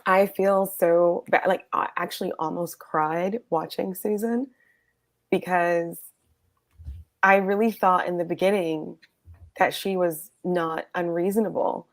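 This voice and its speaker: A woman's voice: on the low side, fairly even intonation, measured pace. A woman guest co-host on a reality TV recap, wry and joking.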